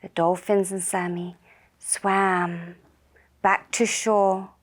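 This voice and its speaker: A woman telling a children's puppet story in a gentle, deliberate and soothing manner. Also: mid-range voice, level tone. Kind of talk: slow narrated children's story.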